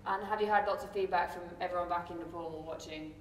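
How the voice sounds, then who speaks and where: A woman's voice: fairly low pitch, steady tone, fast. A woman moderating a sports press conference, asking casual interview questions. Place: indoor press conference room. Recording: echoey room, distant mic, faint noise.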